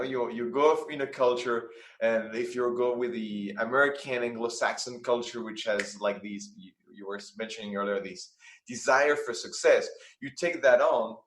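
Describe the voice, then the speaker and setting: Guest, man, earnest and searching for words, echoey room. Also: mid-range voice. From France. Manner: earnest, restarts phrases often. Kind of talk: conversational interview.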